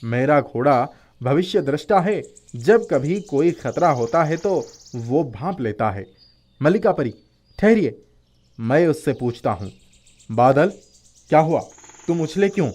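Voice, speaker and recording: A man's voice: a little high, lively intonation, unhurried, a little mellow. A man voicing a brave, courteous young prince in a fairy tale, speaking formally and earnestly. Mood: wary, protective. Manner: formal, gallant, earnest delivery.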